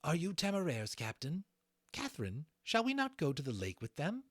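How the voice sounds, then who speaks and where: A man plays a young female dragon from a fantasy storybook. Her voice is lifted high above his natural one, with a curious, composed manner and a dry, close sound. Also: huge pitch swings, normal speed, no room sound. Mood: curious, hesitant.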